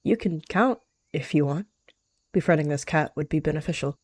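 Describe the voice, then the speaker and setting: A woman's voice: sits low, normal speed, darkish tone, slightly rough, no echo. A woman voicing a scheming, aloof rogue cat in a roleplay, guarded and curt. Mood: guarded, calculating.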